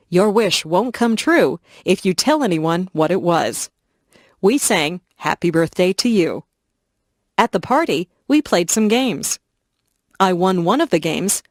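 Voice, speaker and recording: A woman slowly and clearly reading simple first-person stories for English learners. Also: lowish voice, no echo, clean studio sound. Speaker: reader of English listening stories. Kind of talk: slow scripted reading for learners.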